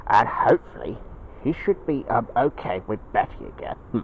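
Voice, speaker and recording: A man voicing a patient, gently encouraging father bear in a homemade cartoon, murmuring with many hums and hesitant pauses. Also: medium pitch, expressive, creaky, phone-quality sound, slight background noise. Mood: chatty, reflective.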